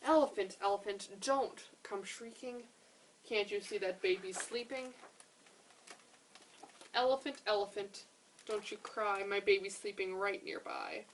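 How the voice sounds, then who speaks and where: A woman's voice: medium pitch, measured pace, lightly acted, rough recording. Woman reading as a protective mother chanting a lullaby, slight noise. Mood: chanting, pleading. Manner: chanting, rhythmic pleading. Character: protective mother shushing animals in lullaby tale.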